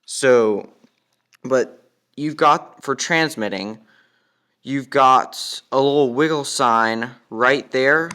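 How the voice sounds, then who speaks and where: A man's voice: mid-range voice, takes it slowly, pushed and bright, clear, resonant tone. A young man giving a casual, matter-of-fact walkthrough as he demonstrates a product.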